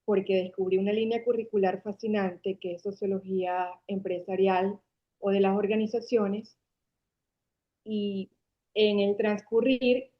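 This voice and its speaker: A woman from Caracas with a Venezuelan accent, in her forties, telling her story at length as an interview guest. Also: medium pitch. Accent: Venezuelan Spanish from Caracas. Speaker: guest, marketing agency founder. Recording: dull lo-fi sound.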